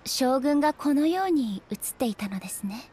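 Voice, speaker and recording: A woman playing a composed, dignified ruler in an anime game, speaking formally and serenely in measured, polite phrases. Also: high-pitched, expressive, a little mellow. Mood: composed, thoughtful. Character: composed, dignified female ruler of an island nation. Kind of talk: anime video game voice acting. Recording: game music behind the voice.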